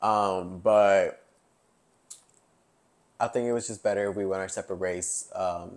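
A man's voice: deep voice, fairly even intonation, a little slow. A man in his early twenties hosting a podcast in casual chat, chatty with lots of fillers and laughs, recorded on a lapel mic in a living-room set. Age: 20s. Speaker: podcast host.

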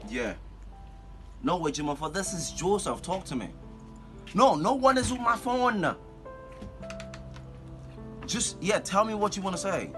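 An actor playing an exasperated, dismissive boyfriend abroad in a phone-call drama, speaking emphatically and impatiently. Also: male voice, sits high, expressive, a little slow, pauses often, faint music behind. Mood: curt. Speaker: actor in a comic relationship drama.

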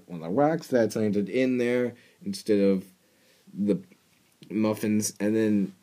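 Slow low-voiced young man rambling. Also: dark tone. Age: young adult. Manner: rambling, joking, swearing, mumbled asides. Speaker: reaction video host. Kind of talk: casual cartoon reaction commentary. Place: small bedroom at night. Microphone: earbud headset mic.

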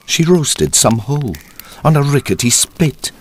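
A man narrating a dark verse tale as a storyteller, theatrical, deliberate and ominous, with a husky deep voice. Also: very animated, slight background noise. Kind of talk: dramatic scripted storytelling in verse.

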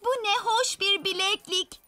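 Young cartoon girl, extremely high, bright tone, chatty, light music behind. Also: steady tone, normal speed. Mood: curious, casual. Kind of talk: animated children's cartoon dub.

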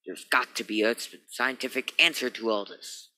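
Space captain for radio play, male actor: sharp, tense, authoritative, mid-pitched, skeptical. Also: moderate pace, a little hiss or hum, rough recording. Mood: commanding. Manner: emphatic, authoritative.

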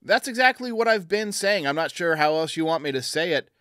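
A man's voice: on the high side, expressive, fairly quick, fairly bright tone, dry recording, clean studio sound. A man hosting a fan call-in sports show, giving blunt, emphatic opinions in a casual way.

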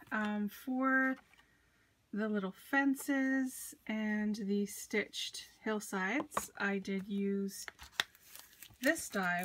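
A woman's voice: medium pitch, slight background noise. A congested woman showing her handmade cards at her craft desk, chatty with fillers and small laughs. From United States. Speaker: card-making crafter. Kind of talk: casual craft show-and-tell.

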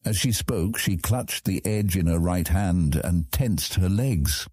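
A man with a husky voice reading scripted fantasy story narration as an audiobook narrator. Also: deep voice, takes it slowly, warm tone, no room sound, clean studio sound.

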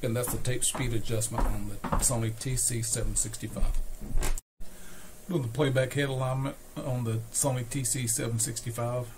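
A man giving an unscripted, hands-on repair demonstration, explaining step by step with hesitant pauses. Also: medium pitch, fairly even intonation. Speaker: host, electronics repair demonstrator. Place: small cluttered indoor electronics workbench. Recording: noisy workshop recording with equipment sounds.

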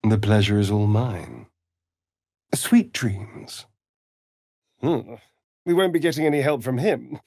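An actor with an English accent playing a sly, vain, mocking vampire elf rogue in a fantasy game, arch and teasing in manner. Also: male voice, mid-range voice, lively intonation, slow, gravelly, pauses often, a little mellow, dry recording, clean studio sound, heavily acted. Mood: teasing, silky, then scornful. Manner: arch, languid, teasing, theatrical. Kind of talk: theatrical fantasy game voice acting.

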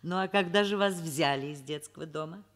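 A woman playing a middle-aged professor, brisk and direct with dry irony, in a Soviet radio drama. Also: low-pitched, lightly acted. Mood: gentle, probing. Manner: brisk, direct, drily ironic. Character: brisk, wry middle-aged female professor, kindly boss. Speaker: actor in a radio play.

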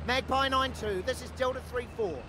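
A man playing an Australian army commander making brisk, forceful radio calls in a war game. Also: extremely high pitch, background noise. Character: Australian ANZAC commander calling for air and artillery support.

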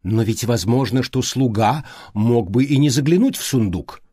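A man's voice: medium pitch, animated, moderate pace, warm tone, husky, dry recording, studio quality. A man voicing a reserved, literal-minded female secretary in an audiobook, speaking in a hesitant, matter-of-fact way. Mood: unsure. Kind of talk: audiobook character dialogue.

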